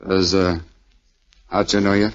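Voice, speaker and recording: A man's voice: deep voice, level tone, measured pace. A man playing a dry, loyal army buddy in 1940s radio drama style. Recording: muffled vintage 1940s radio recording.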